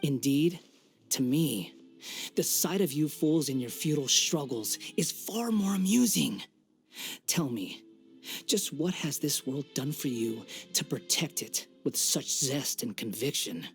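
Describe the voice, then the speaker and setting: A man's voice: on the high side, expressive, measured pace. An arrogant young man with godlike ambitions in an anime game. He speaks with a theatrical, condescending air, savoring every taunt. Music plays behind his words. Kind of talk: English-dubbed anime game voice acting. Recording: background music under the voice.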